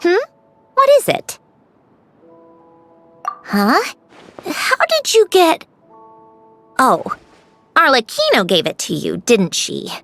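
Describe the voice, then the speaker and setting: A self-assured young woman mechanic from a video game. Her voice is fairly high and very expressive in pitch, brisk and wry yet quietly tender, with music behind it. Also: vocal fry, exaggerated voice. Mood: flustered, wry. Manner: brisk, instructing, wry, reflective.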